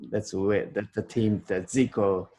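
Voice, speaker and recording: A man's voice: fairly low pitch, fairly even intonation, measured pace, warm tone, slight background noise. A Japanese man with a slight New Zealand accent, interviewing a guest in English in a casual, hesitant way with many fillers and restarts.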